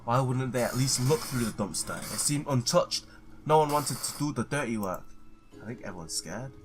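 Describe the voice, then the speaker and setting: A man's voice: mid-range voice, lively intonation, normal speed, faint music behind. A man doing a let's play, reading visual novel text aloud, stumbling now and then and blurting his own reactions.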